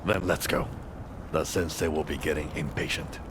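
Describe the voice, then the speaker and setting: An actor playing a battle-worn samurai in a video game, blunt and world-weary, with Japanese words dropped in. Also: male voice, rumbling low, fairly even intonation, moderate pace, noisy background. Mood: weary, gruff. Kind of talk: video game cutscene voice acting.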